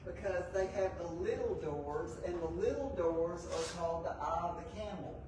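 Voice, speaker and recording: A woman's voice: on the low side, moderate pace. A middle-aged woman casually explaining things as a shop owner, recorded at a distance inside a large shop. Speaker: gallery owner and antiques importer. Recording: distant, roomy, noisy location sound.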